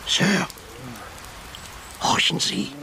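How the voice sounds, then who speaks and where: A man's voice: extremely slow, bright, forceful tone, slight background noise, full character voice, low-quality recording. A man acting in a radio drama as a loyal, long-serving butler, anxious and deferential. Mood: hushed, tense. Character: loyal, worried long-serving male butler, middle-aged or older.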